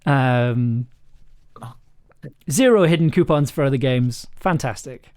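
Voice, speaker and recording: A stream host with a mid-pitched voice talks casually to viewers. The delivery rambles and halts, often doubling back over the same words before moving on. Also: takes it slowly, darkish tone, smooth. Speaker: stream host and audio drama writer. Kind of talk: casual live stream chat.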